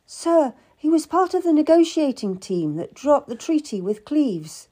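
A woman narrator plays a Tudor servant woman in a historical audiobook. Her voice sits high and moves freely in pitch. She is earnest and urgent, beseeching her betters. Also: sing-song, a little slow, warm tone. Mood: proud, earnest. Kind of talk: dramatised audiobook character.

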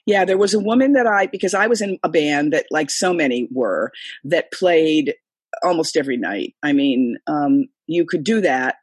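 Husky older woman telling stories. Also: deep voice, lively intonation, moderate pace, dry recording. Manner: chatty, rambling storyteller with many fillers. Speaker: singer and guest. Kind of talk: casual interview conversation. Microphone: remote call, computer.

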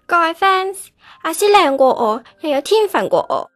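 A woman's voice: high-pitched, a little slow, husky, no room sound, soft background music, full character voice. A woman acting a sensitive, dramatic nineteen-year-old daughter in a radio drama, with a Hong Kong Cantonese accent. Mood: tearful farewell.

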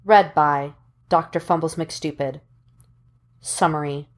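A woman narrating fan fiction as an audiobook reader, in measured, deliberate phrases with dramatic pauses. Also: low-pitched, steady tone.

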